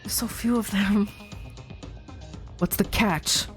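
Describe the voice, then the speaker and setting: A woman's voice: medium pitch, steady tone, slow, soft background music, lightly acted. A woman reading a wary, uneasy young man.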